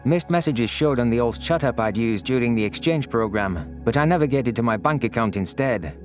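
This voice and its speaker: A man reading scripted first-person science fiction narration as a story narrator. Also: mid-range voice. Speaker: narrator of audio stories.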